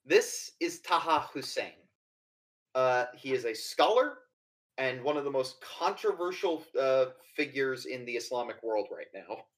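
A man runs a tabletop game as its keeper, walking the players through background in an explanatory tone. He often stops on fillers, and his voice sits at a middle pitch. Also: very animated, measured pace, fairly bright tone. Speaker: game master. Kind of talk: narrating a tabletop horror game.

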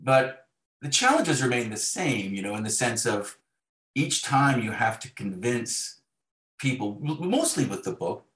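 A man's voice: mid-range voice, big pitch swings, unhurried, fairly bright tone, roomy sound. A middle-aged man speaking as a novelist in an interview, reflective and discursive, with wry self-deprecation.

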